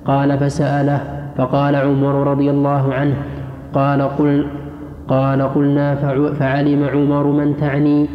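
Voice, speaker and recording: A man formally reading classical religious texts aloud to a teacher, with measured, careful enunciation. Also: medium pitch, completely flat delivery. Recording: muffled, echoey hall, faint noise.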